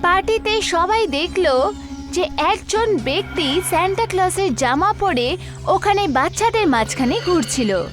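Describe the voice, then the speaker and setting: Very high-pitched woman story narrator. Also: animated, unhurried, soft background music. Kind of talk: scripted horror story narration.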